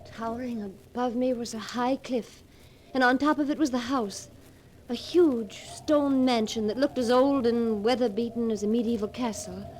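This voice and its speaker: An actress playing an earnest, anxious young heroine in a 1940s radio drama, with dramatic delivery. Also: female voice, a little high, normal speed, a little mellow, a little hiss or hum, close to an everyday voice. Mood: wistful, foreboding.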